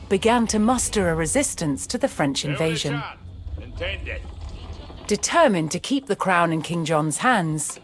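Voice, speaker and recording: A woman reading scripted historical narration for a strategy game, telling the story in a measured, deliberate way. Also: lowish voice. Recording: studio voice-over with music underneath. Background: music.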